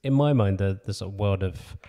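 A man's voice: lowish voice, a little fast, warm tone. A man hosting a podcast, asking casual interview questions.

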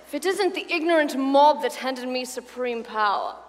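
A woman's voice: high voice, expressive, normal speed. A woman playing an arrogant, spiteful teenage schoolboy bully with a pompous, sneering drawl in a comedic stage reading. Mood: smug, pompous. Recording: reverberant hall, clear background noise.

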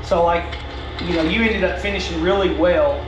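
A man's voice: sits high, steady tone, normal speed. A middle-aged man patiently walking a driver through race footage, explaining in a stop-start way at a desk indoors. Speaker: racing coach. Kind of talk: reviewing race footage, coaching. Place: indoor room at a desk with a laptop. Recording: roomy echo with music playing underneath.

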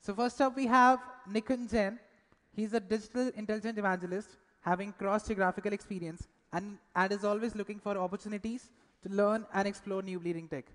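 A woman acts as host at a conference, introducing a speaker from the stage. Her voice is medium in pitch and carries the reverb of a large hall. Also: fairly even intonation, a little fast. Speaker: event host.

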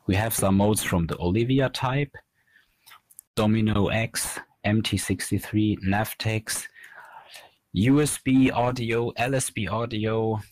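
A man in his thirties presenting a technical slide lecture as a researcher, explaining in a measured, halting way from a small room. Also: on the low side, low-quality recording. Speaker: researcher presenting at an online academy.

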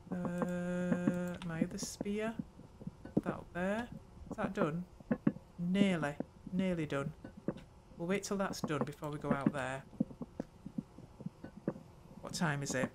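An older woman talks her way through a video game, thinking aloud as she goes. She speaks slowly and unhurriedly, pausing to muse over each small task. A steady hiss of background noise sits under her home recording. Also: on the low side. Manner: unhurried, muttering thoughts aloud while playing. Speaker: gaming channel host. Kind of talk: let's play commentary.

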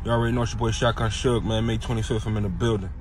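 A man giving a punchy, slangy, repetitive promo shout-out as a battle rapper. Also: on the low side, fairly even intonation, rapid-fire, a little hiss or hum.

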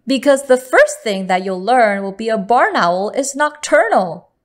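A woman with a fairly high voice reads a children's bedtime picture book aloud. She goes very slowly, phrasing each line deliberately and with expression, as a storyteller for young listeners. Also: expressive.

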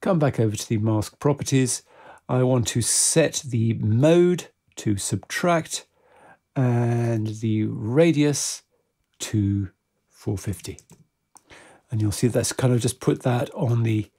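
Mellow, slightly husky man giving a tutorial. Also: mid-range voice. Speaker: tutorial presenter. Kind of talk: software tutorial, step-by-step screen-recorded walkthrough.

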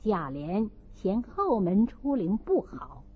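Expressive, slow-paced woman narrator, husky edge. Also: mid-range voice, phone-quality sound. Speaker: audiobook narrator. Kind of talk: dramatic scripted narration of a classic novel.

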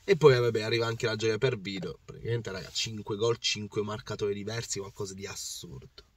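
A hoarse man in his thirties talks through a football match from his parked car, his voice low and a little rough. He rambles with passion and circles back over his own words, repeating points as he goes. The camera mic on the dashboard sits a little way from him, so he sounds slightly distant. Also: fairly even intonation, a little fast. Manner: passionate, rambling with restarts and repetitions. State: hoarse, voice worn out. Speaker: football fan vlogger. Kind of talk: casual match recap to camera. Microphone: phone or camera on the dashboard.

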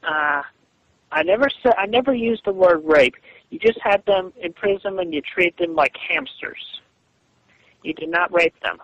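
A man in a halting phone conversation, speaking in a stilted, deliberate way and repeating himself, over a muffled line. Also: sits high, slight background noise. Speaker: person on a phone call.

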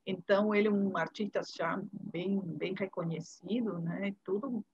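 Husky woman with a Uruguayan Spanish accent. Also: mid-range voice, a little slow, darkish tone. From Montevideo, Uruguay. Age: 50s. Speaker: interview guest, curator and art history professor. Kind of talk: casual reminiscing interview talk. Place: indoor room, video call. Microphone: earphone headset on video call. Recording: dull lo-fi video call audio.

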